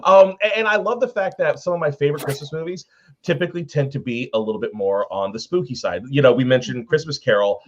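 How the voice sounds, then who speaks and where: A man's voice: mid-range voice, quick pace, dull audio, slight background noise. A man talking casually and emphatically about films as a panelist, gushing like a devoted fan. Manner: emphatic, effusive fan talk.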